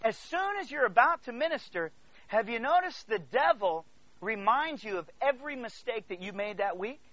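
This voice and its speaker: A male preacher from the Congo with a high, forceful voice that moves widely in pitch. He delivers an emphatic sermon, repeating phrases and checking that listeners follow. The sound is close and dry. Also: animated, normal speed, muffled recording. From Congo, Central Africa. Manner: emphatic, swings between hushed asides and loud declarations. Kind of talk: animated sermon to a congregation.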